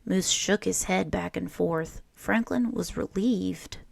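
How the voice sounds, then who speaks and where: A woman reading a children's picture book aloud in an expressive, deliberate storytelling manner. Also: on the low side, creaky, no echo. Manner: animated, deliberate storytelling with acted character lines.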